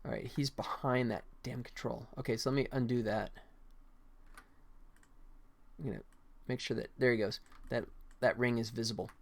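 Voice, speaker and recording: A man's voice: mid-range voice, steady tone, moderate pace, vocal fry, pauses often. A man chatting casually on a livestream as he works, rambling and thinking aloud as he explains each step. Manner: rambling, thinking aloud, half-muttering to himself while working. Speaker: game developer streaming his work. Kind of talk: casual livestream tutorial and chat while working. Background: music behind the voice.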